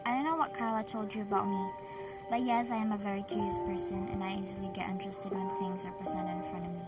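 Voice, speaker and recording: Blunt, guarded female student, woman actor, medium pitch, radio drama, heavy music underneath. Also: little pitch movement, fast talker, close to an everyday voice. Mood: guarded, firm. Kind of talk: amateur radio drama acting. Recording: loud music behind voice.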